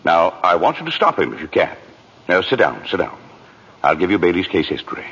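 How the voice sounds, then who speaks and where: An actor playing an authoritative, benevolent superintendent of angels in a measured 1940s radio drama style. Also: male voice, sits low, very animated, fairly bright tone, breathy edge, heavily acted. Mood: commanding. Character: commanding male superintendent of angels, briskly benevolent. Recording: reverberant, muffled vintage radio recording.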